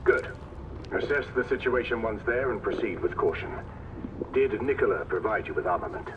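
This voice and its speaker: A veteran knight commander in a video game, heard over a dull radio link. He sounds distant, calm and dryly witty, with clear noise around him. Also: on the low side, moderate pace. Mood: measured, dry.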